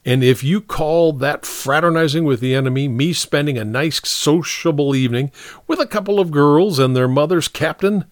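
A man's voice: on the high side, normal speed, no echo, clean studio sound, pitched above the performer's own voice, lightly acted. Man doing a cocky, streetwise army private. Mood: defensive. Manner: colloquial, arguing back. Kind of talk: audiobook character dialogue.

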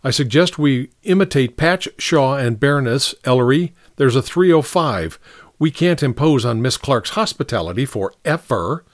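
A man voicing a sharp, jealous young woman in a story, indignant and scornful in manner. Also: medium pitch, expressive, normal speed, no room sound, clean studio sound, lightly acted. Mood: cold.

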